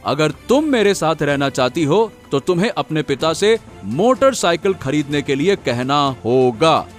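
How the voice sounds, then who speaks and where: A man's voice: a little high, big pitch swings, measured pace, soft background music. A man playing an aggressive, greedy young husband who bullies his wife in a cartoon moral story. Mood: demanding, harsh.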